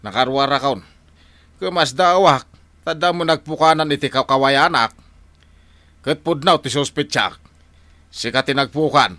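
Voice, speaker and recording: A man's voice: mid-range voice, measured pace, long pauses, pushed and bright, dry recording. An actor playing a cantankerous, suspicious old village man in an Ilocano radio drama, grumbling and exclaiming with an Ilocano accent. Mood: indignant.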